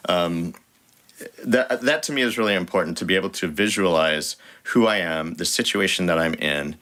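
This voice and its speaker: Very deep-voiced man, animated and jokey. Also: moderate pace. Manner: animated and jokey, with frequent restarts. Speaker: voice actor guest. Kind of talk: casual interview chat.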